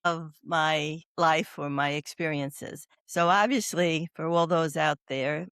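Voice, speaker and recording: A woman's voice: low-pitched, fairly even intonation, slow, a bit bright, clear, resonant tone, no echo, studio quality. An older woman from New York with a Brooklyn accent, a chatty, self-deprecating guest telling stories in a casual interview. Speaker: guest, oncology doula.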